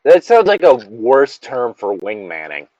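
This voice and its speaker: A man's voice: mid-range voice, wildly swooping pitch, unhurried, a little mellow, no echo, lo-fi sound. A man in casual banter as a show co-host, rambling, swearing and correcting himself as he goes. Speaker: co-host of a story-reading show.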